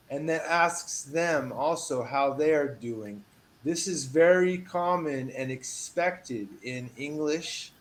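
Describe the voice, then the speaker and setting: A man teaching a one-on-one English lesson over a video call, patient and encouraging, reading model phrases with lively emphasis. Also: fairly high pitch. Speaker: English tutor.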